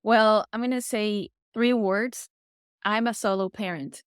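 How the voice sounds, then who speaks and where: A woman's voice: medium pitch, steady tone, unhurried, clean tone, no echo, studio quality. A woman whose first language is Spanish, a podcast guest chatting casually and sometimes searching for words. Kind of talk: casual interview chat.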